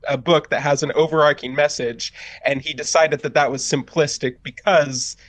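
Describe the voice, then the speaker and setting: A man's voice: a little high, fairly even intonation, moderate pace, dry recording. A man talking casually and giving his opinions as a panelist in a group discussion, often paraphrasing with mock quotes. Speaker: panelist on a reaction podcast.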